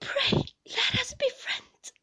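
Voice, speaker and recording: A woman's voice: extremely high pitch, sing-song, a little slow, darkish tone, pitched above the performer's own voice, full character voice. A woman voicing a timid, girlish young wife in an audiobook, faltering and plaintive as she pleads. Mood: piteous pleading. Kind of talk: audiobook character acting of a classic novel.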